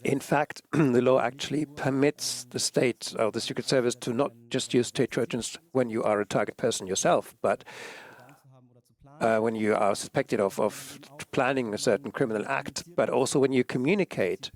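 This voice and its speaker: A man speaking as a press freedom advocate at a conference panel, hesitant, with many fillers and throat clearing. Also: mid-range voice, fairly even intonation, normal speed.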